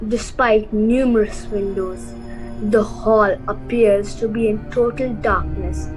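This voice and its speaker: A woman narrating a scripted radio play in a deliberate storytelling manner. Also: mid-range voice, fairly even intonation, music in the background, poor audio.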